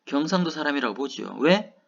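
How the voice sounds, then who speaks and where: A man's voice: on the high side, big pitch swings, brisk, vocal fry. A middle-aged man from South Gyeongsang with a Gyeongsang Korean accent, a professor lecturing who keeps asking rhetorical questions and answering them himself. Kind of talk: recorded lecture. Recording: dull, lo-fi lecture recording.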